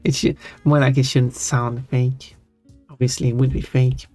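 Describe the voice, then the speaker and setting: Streamer, relaxed unhurried delivery, self-correcting chatter, faint music bed. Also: medium pitch. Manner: rambling, self-correcting, giggly. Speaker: live streamer. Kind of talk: casual live-stream chat while drawing. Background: faint background music.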